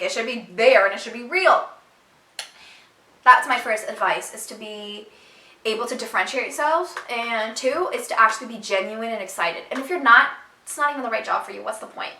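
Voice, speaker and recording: A young woman telling her own story to her viewers in a casual, animated and emphatic way. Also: fairly high pitch, roomy sound, distant mic, low-quality recording. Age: young adult. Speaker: vlogger.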